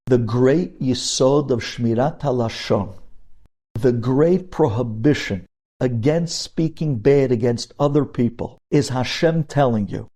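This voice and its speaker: A man's voice: mid-range voice, mellow. A man giving a religious ethics lecture as a rabbi, speaking emphatically and putting rhetorical questions straight to his listeners.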